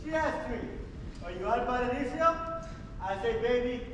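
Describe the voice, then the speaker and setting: A young male high school actor playing a brash, womanizing jazz musician telling stories in a stage play. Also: animated, normal speed. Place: school theatre stage, distant microphone. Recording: echoey noisy theatre hall from far off.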